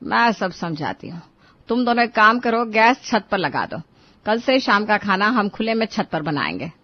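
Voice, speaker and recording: A woman voicing a shrewd, determined mother-in-law who speaks firmly in an animated moral story. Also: mid-range voice, normal speed, dry recording, dull audio. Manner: firm, instructive.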